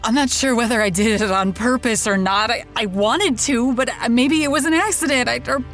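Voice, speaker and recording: An actress playing a stubborn, fatalistic dying woman in an audio drama, speaking in a halting way. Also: on the high side, fairly bright tone, soft background music. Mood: halting, pained. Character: stubborn, resigned dying woman haunted by ghosts.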